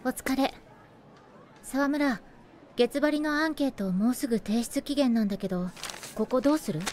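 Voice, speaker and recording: A woman acting a reserved high school team manager in an anime radio drama, with a straight-faced, deadpan manner. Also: normal speed, a little hiss or hum, lightly acted. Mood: businesslike.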